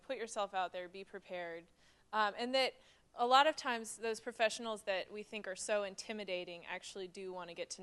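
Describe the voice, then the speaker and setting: A woman's voice: on the low side, fairly bright tone, smooth. A woman leading a panel discussion, upbeat and encouraging, laughing often.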